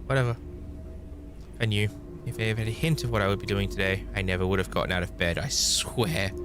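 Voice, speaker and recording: A man streaming a game, reading its dialogue aloud in character and switching between voices, with animated delivery. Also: on the low side, a little fast, music in the background. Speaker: streamer playing horror visual novels.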